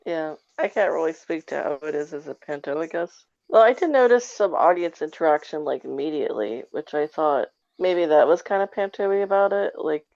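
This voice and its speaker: Woman, lowish voice, halting casual podcast chat, dry, dull lo-fi audio. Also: lively intonation, a little mellow, no room sound. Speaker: podcast panelist.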